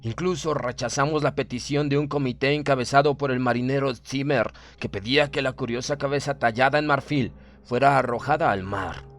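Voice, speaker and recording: A man's voice: medium pitch, fairly quick, hardly any pauses. Man narrating horror over music. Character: stern, proud German submarine officer recounting events. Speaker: audiobook narrator. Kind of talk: dramatic scripted narration of a horror story.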